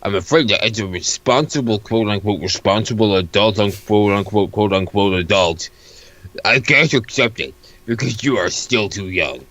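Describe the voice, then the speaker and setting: A man with a fairly low voice and a bright, forceful edge reads comedic dialogue. He sounds mock-formal and bantering, as if improvising around a script. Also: normal speed, lo-fi sound. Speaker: co-reader of a comic. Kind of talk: comedic character reading, improvised.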